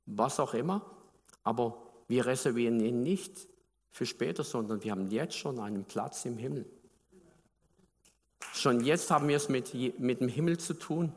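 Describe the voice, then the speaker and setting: Man preaching in a reverberant hall. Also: medium pitch. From Baden, Germany. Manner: conversational, asks the congregation questions. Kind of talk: sermon.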